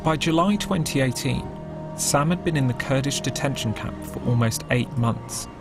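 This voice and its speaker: Measured documentary narrator, man. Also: medium pitch. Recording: voice-over with clear music underneath.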